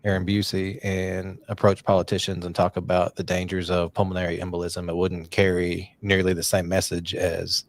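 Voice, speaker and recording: A man's voice: low voice, completely flat delivery, normal speed, few pauses, no echo. A middle-aged man hosting a podcast, talking in an earnest, encouraging, rambling way. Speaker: podcast host. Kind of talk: casual podcast conversation.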